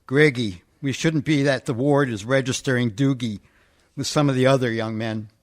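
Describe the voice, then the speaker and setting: A man's voice: mid-range voice, steady tone, normal speed, lightly acted. A man playing a battered, dazed college frat leader in an amateur radio comedy.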